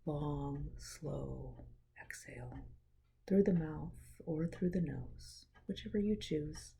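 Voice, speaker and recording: Slow, dark-voiced woman guiding meditation. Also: low-pitched. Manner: gentle, measured, breathy pauses. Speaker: meditation guide. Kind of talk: guided meditation, soothing scripted narration.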